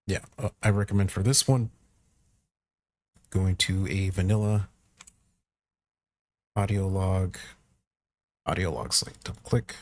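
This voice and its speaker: Low, gravelly, slow-talking man. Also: dry recording. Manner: informal, thinking aloud while working. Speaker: tutorial presenter. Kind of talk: casual screen-recorded software walkthrough.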